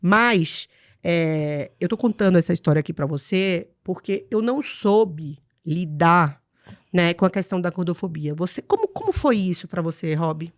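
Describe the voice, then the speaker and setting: A woman's voice: on the low side, expressive, normal speed, dry recording, clean studio sound. A woman in her forties from Salvador, Bahia, hosting a podcast interview and telling personal memories in an earnest, reflective way. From Salvador, Bahia, Brazil. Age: 40s. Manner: earnest, reflective storytelling with frequent "né?" tags. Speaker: podcast host.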